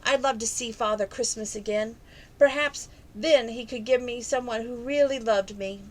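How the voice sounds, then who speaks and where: A lonely little girl penguin in a storybook, as a woman reads her part. Her voice is fairly high and wistful, full of quiet hope. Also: moderate pace, lightly acted, poor audio. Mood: hopeful.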